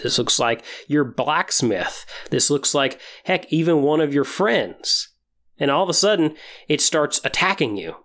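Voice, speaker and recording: A man's voice: on the high side, fairly bright tone. A man hosting a tabletop gaming podcast, explaining things in an informal, conversational way. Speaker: podcast host. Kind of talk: explanatory hobby podcast talk about tabletop role-playing games.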